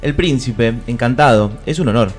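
An actor with an Argentine accent playing a crude, lovestruck fairy-tale prince in a comic radio play, mock-courtly and slipping into street slang. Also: male voice, mid-range voice, moderate pace, soft background music, lightly acted. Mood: courting. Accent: Argentine, Rioplatense. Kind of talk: comic radio theatre parody.

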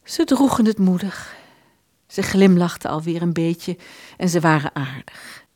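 A woman narrating a literary radio play from a script in an expressive storytelling manner. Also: fairly low pitch, unhurried, dry recording, studio quality.

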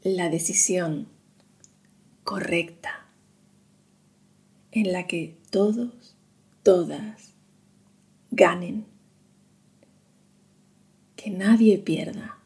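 A woman leads a guided meditation at an extremely slow pace, with long pauses between phrases. Her voice is mellow and slightly low, and her delivery is hushed and soothing. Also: fairly even intonation.

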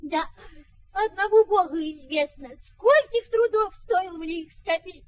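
A folksy village petitioner in a radio comedy. Her very high voice swoops about as she chatters, ingratiating and aggrieved. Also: animated, measured pace, dull audio. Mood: chatty, plaintive. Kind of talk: comic radio play acting.

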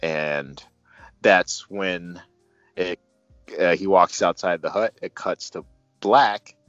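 A man casually reviewing a film as host, speaking in a halting, thoughtful, enthusiastic fan's way. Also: deep voice, a bit bright, no echo. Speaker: movie review show host. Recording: dull lo-fi sound, music behind.

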